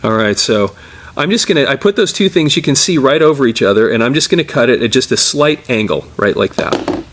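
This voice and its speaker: A man's voice: mid-range voice, lively intonation, fairly quick, a bit bright, a little hiss or hum. Middle-aged man giving a casual hands-on tutorial. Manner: informal, step-by-step explaining while working with his hands. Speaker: tape repair and digitizing technician, presenter.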